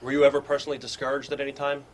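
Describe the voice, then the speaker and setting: A man's voice: medium pitch, level tone, racing. A man hosting an interview, asking straightforward questions. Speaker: interviewer, host.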